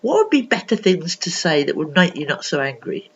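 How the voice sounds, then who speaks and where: A kindly, strong school coach in a children's story, played by a woman. The coach is down-to-earth and colloquial, reasoning with questions. Pitch moves a lot, and the sound is a little muffled. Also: sing-song, fairly quick, breathy edge, exaggerated voice.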